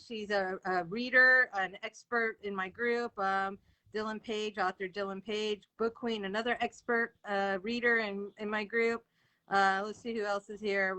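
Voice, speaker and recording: Bright-voiced woman hosting a casual livestream. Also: mid-range voice. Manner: chatty, informal, talks to viewers as she goes. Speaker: host of an author interview livestream.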